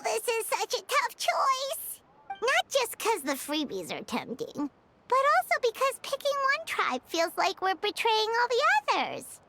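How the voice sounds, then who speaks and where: A woman voicing a tiny, excitable, greedy fairy companion in a video game, with a squeaky childlike voice and an exclamatory manner. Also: background noise, full character voice. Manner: refers to self in third person, exclamatory. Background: music.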